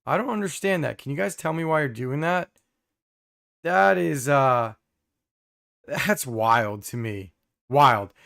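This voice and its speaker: A hoarse young man hosting a casual live stream, reading viewer comments with many fillers and throat clearing. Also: mid-range voice, expressive, takes it slowly, long pauses, fairly bright tone.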